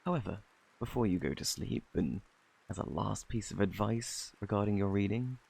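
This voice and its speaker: A deep-voiced British fortune teller at a fantasy inn, acted by a man, speaks with a gravelly edge. He is flirty and a little peculiar, with courtly, teasing turns of phrase in an ASMR roleplay style. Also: moderate pace, a little mellow, exaggerated voice.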